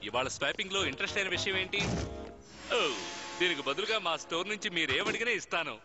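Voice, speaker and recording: A man's voice: sits high, quick pace, natural voice. A man voicing a harried, cheerful, indulgent cartoon dad, exclamatory and dropping English phrases into his talk. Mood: cheerful, excited. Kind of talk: animated cartoon dub acting. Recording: dull lo-fi audio under loud music.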